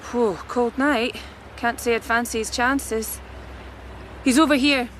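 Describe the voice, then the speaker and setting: Higher-voiced woman journalist. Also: steady tone, a little slow, fairly bright tone. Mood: matter-of-fact. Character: brisk, matter-of-fact female newspaper journalist. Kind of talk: radio drama acting. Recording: faint outdoor noise behind voice.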